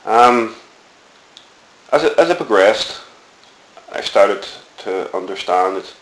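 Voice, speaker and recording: A middle-aged Belfast man with a very low voice tells of his childhood in a slow, reflective, rambling way, full of ums and restarts. He is recorded in an echoey room with a faint hiss behind him. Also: lively intonation, a bit bright. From Northern Ireland. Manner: rambling, reflective storytelling with many fillers. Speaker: interviewee. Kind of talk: oral history interview.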